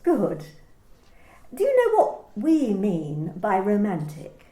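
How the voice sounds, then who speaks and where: Woman playing a probing lady manager in a radio play, prim n precise, very swoopy pitch, roomy echo. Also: wildly swooping pitch, takes it slowly, mellow. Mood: coolly insistent. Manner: formal, precise, clipped questioning. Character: probing, officious female manager, politely menacing. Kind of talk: amateur radio play acting. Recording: roomy, echoey home recording.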